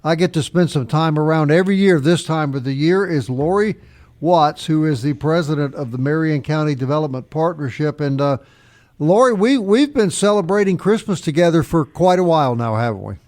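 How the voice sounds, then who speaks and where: A man's voice: a little high, animated, brisk, darkish tone. A man hosting a sports radio show, chatting casually with a folksy, joking manner and reading sponsor messages. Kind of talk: casual radio talk with ad reads.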